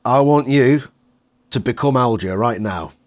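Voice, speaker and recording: A man speaks at a middle pitch as an interviewer. He chats casually and puts his questions together as he talks, in tentative pieces. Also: unhurried.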